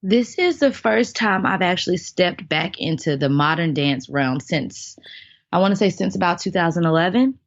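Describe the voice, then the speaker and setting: Casting: woman in her thirties, low voice, earnest storyteller, dry and dull sound. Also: expressive, moderate pace, no room sound. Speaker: guest, dancer and choreographer. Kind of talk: casual interview storytelling.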